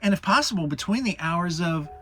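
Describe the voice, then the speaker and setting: A man voicing a small, timid, excitable piglet in a children's storybook, polite and anxiously making excuses. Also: sits high, husky, voice pushed up, heavily acted. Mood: anxious, evasive. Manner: nervous, hurried excuse-making.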